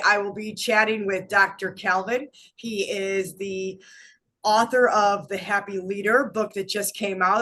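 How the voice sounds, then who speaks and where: A woman's voice: mid-range voice, pushed and bright. A woman hosting a podcast interview over a video call, sounding nasal and stuffy from allergies. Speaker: podcast host.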